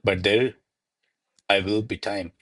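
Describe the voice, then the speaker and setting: A man's voice: on the low side, fairly even intonation, takes it slowly, a bit bright, no room sound. A man playing a deferential, flustered servant to a noble lady in a radio play, speaking formally and placatingly. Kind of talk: radio play acting of a classic comedy.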